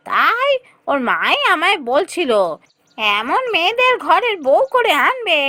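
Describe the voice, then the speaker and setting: A woman's voice: sits high, bright, forceful tone, no echo, full character voice. A woman voicing a chatty, persuasive village matchmaker in a cartoon fairy tale, with a coaxing way of talking.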